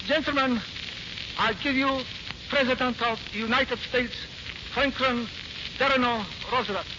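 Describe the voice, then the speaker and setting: An actor playing a solemn, courtly envoy, speaking formal, measured oratory in a 1940s radio drama. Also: male voice, extremely high pitch, steady tone. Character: smooth, solemn Japanese envoy proposing toasts of peace. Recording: noisy, muffled 1940s radio recording.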